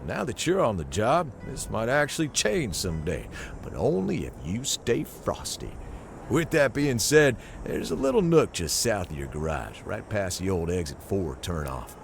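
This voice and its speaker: An actor playing a folksy, friendly frontier rancher in a video game, gravelly and expressive, reading out a neighborly letter. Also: male voice, lowish voice, normal speed, a little hiss or hum. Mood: friendly, appreciative.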